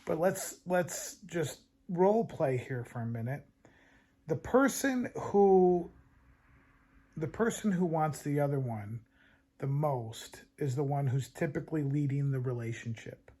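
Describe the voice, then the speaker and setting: A man with a fairly high speaking voice gives dating advice. He talks earnestly and conversationally, often doubling back on words as he restarts a thought. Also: lively intonation, a little slow, warm tone. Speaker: dating coach. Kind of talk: advice talk to camera.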